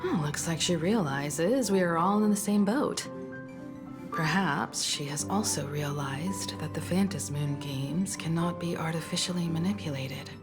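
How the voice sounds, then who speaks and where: An actress voicing a composed, mature woman mentor in a video game, calm and measured. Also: low-pitched. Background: game soundtrack music.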